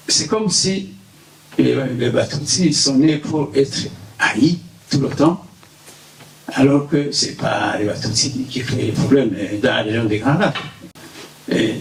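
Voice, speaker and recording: A man's voice: medium pitch, vocal fry. A man from Burundi speaking at a press conference, earnest and hesitant with many fillers. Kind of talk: unscripted remarks at a press conference. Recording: echoey room, distant mic, faint hiss.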